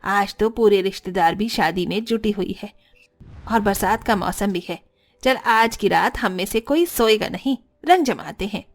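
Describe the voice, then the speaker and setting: A woman voicing a lively older aunt-in-law, cheerful, bossy and teasing, in a cartoon family story. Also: mid-range voice, normal speed, faint music behind, close to an everyday voice. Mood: delighted. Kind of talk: cartoon family drama acting.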